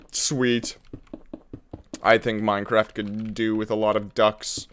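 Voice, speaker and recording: A man's voice: mid-range voice, a little hiss or hum. A man live-streaming a building game, chatting in a playful, rambling way with frequent chuckles, sounding congested. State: congested, runny nose. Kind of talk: casual live-stream chat while building.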